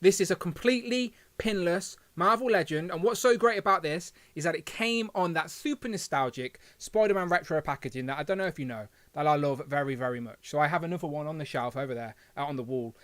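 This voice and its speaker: Host, male, lilt, slight lisp, high-pitched rambling chatter, dry close sound. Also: quick pace, few pauses, a bit bright, no room sound. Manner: chatty, rambling, self-deprecating. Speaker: live stream host. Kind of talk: casual live stream chat.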